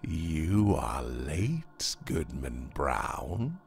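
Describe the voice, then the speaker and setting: A man voicing a worldly, sly devil of about fifty in a storybook tale, speaking formal archaic English in a smoothly persuasive way. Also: extremely low pitch, very animated, soft background music, exaggerated voice. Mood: mild reproach. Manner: unhurried, archaic formal speech. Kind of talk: dramatised audiobook reading of a classic tale.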